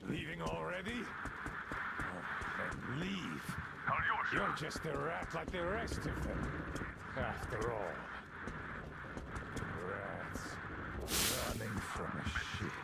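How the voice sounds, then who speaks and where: A gravelly, bitter outpost leader. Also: male voice, on the high side, very animated, takes it slowly, long pauses, very noisy, full character voice. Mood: muttering. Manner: ranting, mocking, scornful. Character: embittered wounded male outpost leader. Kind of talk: video game cutscene.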